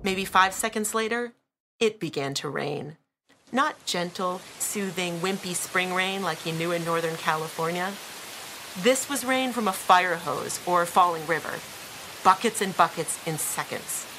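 A woman narrating an audiobook in a dramatic, deliberate style with weighted pauses and emphasis. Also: fairly low pitch, noisy background.

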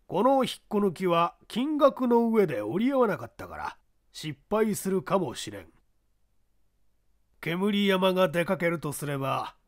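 A woman voicing a gruff, scheming middle-aged male newspaper boss giving blunt orders in a story reading. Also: lowish voice.

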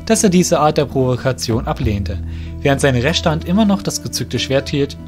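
A man with a medium-pitched voice narrates a fantasy tale. His delivery is scripted storybook reading. Guitar music plays clearly underneath. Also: expressive, moderate pace. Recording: music behind the voice.

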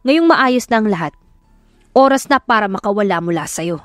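A woman's voice: medium pitch, animated, normal speed, no room sound, lightly acted. A villainous husband played by a woman narrator in an audio romance drama, cruel and mocking. The delivery is taunting and scornful, recorded dry with music underneath. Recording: music behind the voice.

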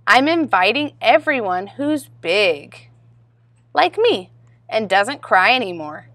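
A woman's voice: mid-range voice, big pitch swings, slow pace, fairly bright tone, no echo. A woman voicing a proud, curious little boy rabbit of nearly five in a storybook reading, emphatic and questioning.

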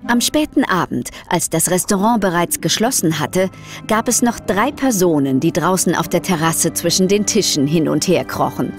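Lowish, expressive woman storyteller. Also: normal speed. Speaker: narrator. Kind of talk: scripted storybook narration for children. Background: music under the voice.

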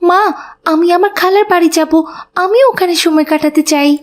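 A very high, childlike cartoon voice of a sweet little schoolgirl. She speaks politely and eagerly, with a pleading innocence. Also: moderate pace. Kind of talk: children's moral cartoon voice acting.

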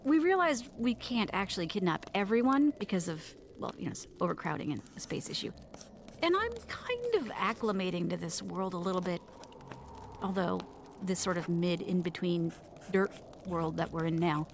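A woman playing a fussy, chatty castaway in a comedic audio drama, expressive, breezy and rambling. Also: on the low side, moderate pace, a little hiss or hum. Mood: chatty, confiding. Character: fussy, chatty woman stranded in another world. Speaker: podcast narrator character.

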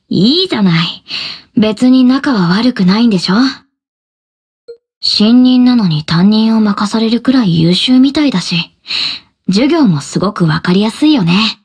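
A soft-mannered schoolboy in an anime game. The voice is fairly high, slightly husky and expressive. He comes across as friendly, mild and agreeable. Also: a little slow. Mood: reassuring. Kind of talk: anime-style game voice acting.

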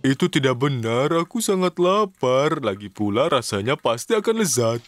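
A man voicing an excitable, stubborn little mustached character in a children's cartoon, with eager, theatrical delivery. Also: mid-range voice, normal speed, natural voice. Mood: hungry, surprised. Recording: music behind the voice.

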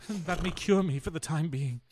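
A man's voice: on the high side, fairly quick, background noise, close to an everyday voice. Man acting a weak-willed husband, radio drama, rueful, self-mocking, theatrical, hesitant. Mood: rueful, gloomy.

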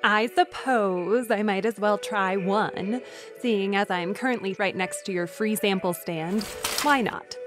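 A woman's voice: mid-range voice, a little slow, lightly acted. A woman playing a self-conscious, defensive grocery shopper who over-explains. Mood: feigned nonchalance. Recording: faint music behind.

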